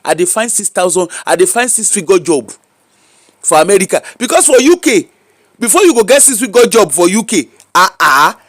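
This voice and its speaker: High-voiced, animated Nigerian man. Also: normal speed, no room sound, studio quality. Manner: animated, mocking, rhetorical questions. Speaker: social media commentator. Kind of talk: casual commentary in pidgin. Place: UK-based.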